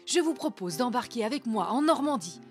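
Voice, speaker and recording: A woman's voice: fairly high pitch, expressive, fairly quick, faint music behind. A woman hosting a storytelling show, narrating scripted folk legends in a theatrical, literary manner. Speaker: host and storyteller.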